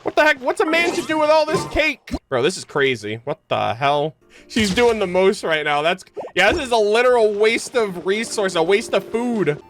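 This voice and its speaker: A man giving casual, expressive reaction commentary as a game streamer, slangy and prone to swearing. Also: sits high, normal speed, over music.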